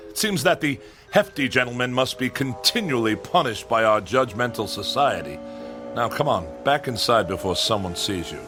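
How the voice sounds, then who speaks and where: An actor playing a pompous, exasperated scholar of the nineteenth century, with fussily formal, old-fashioned diction in a comic radio drama. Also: male voice, medium pitch, lively intonation, normal speed, over music, close to an everyday voice. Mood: pompous, wistful.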